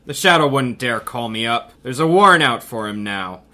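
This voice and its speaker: Actor as a gruff commish, bright pushy voice. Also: male voice, medium pitch, lively intonation, moderate pace. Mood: indignant. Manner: gruff, indignant, blustering. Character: brash blustering male police commissioner. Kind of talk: 1930s radio drama reenactment.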